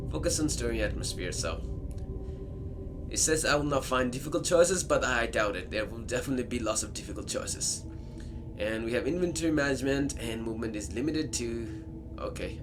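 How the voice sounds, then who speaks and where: Chatty male gamer over loud game music. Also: mid-range voice. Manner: chatty, thinks aloud, repeats himself. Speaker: gamer, let's play host. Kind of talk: casual gameplay commentary, reading game text aloud.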